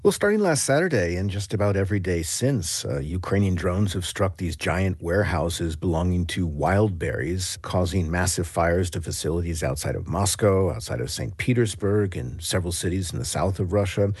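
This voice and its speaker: A man's voice: low-pitched, lively intonation, normal speed, few pauses, darkish tone, breathy edge, no echo, clean studio sound. A man with an American accent giving an explanatory radio news report as a foreign correspondent, in a conversational way.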